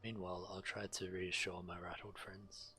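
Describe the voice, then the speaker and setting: An actor playing a grave, urgent walrus-folk investigator in a video game, solemn and full of foreboding. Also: male voice, low voice, flat delivery, normal speed, pushed and bright, slight background noise. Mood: somber.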